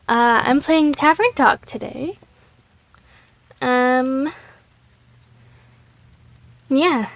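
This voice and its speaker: Woman gaming youtuber, slow and hesitant, gravelly voice going a bit hoarse. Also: on the high side, no echo. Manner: hesitant, filler-heavy, self-correcting. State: losing her voice. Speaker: gaming channel host. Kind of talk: casual game playthrough commentary.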